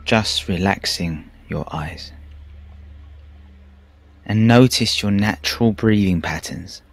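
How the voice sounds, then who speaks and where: A man narrating a guided meditation in a calm, measured, soothing way with pauses. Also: fairly low pitch. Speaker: meditation teacher. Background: background music.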